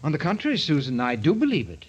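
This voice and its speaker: A man's voice: on the high side, huge pitch swings, fast, husky, heavily acted. An actor playing a suave, morbidly fascinated man in 1940s radio mystery style, urbane, theatrical and teasing. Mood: mocking, sinister. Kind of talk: 1940s radio mystery acting with first-person narration. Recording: dull old radio drama with music.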